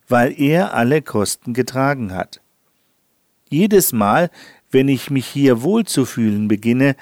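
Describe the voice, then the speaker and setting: Guy reading a morning devotional, super slow and calm, dry close mic. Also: mid-range voice, dry recording. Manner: calm, measured, addresses listener directly. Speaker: devotional reader.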